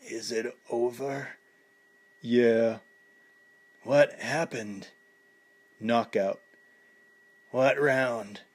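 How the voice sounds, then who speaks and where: A frail old boxing trainer on his deathbed, read by a male narrator. He speaks softly and weakly, each short phrase a hushed gasp. The voice is quiet and fading. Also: medium pitch, very slow, long pauses. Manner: weak, breathy, hushed.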